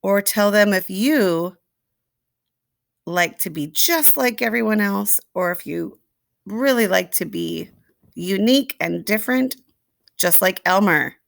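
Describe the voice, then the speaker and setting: A woman storyteller reading a picture book expressively to young children, deliberately, with asides and questions to the listeners. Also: mid-range voice, expressive, creaky, no echo, studio quality. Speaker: read-aloud storyteller.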